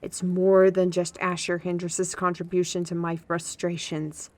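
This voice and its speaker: A woman's voice: on the low side, level tone, unhurried, a little hiss or hum, close to an everyday voice. A woman as a proud, frustrated young artist. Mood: indignant frustration. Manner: indignant, lofty wording. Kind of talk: fantasy audio drama acting.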